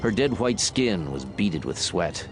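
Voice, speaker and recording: A man's voice: low-pitched, big pitch swings, measured pace. A man narrating a dramatized audiobook in a scripted, dramatic storytelling style.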